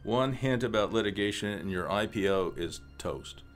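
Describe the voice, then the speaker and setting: A man playing a suavely threatening government official in a radio play, condescending, formal and deliberate. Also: on the low side, lively intonation, faint music behind, lightly acted. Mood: veiled threat.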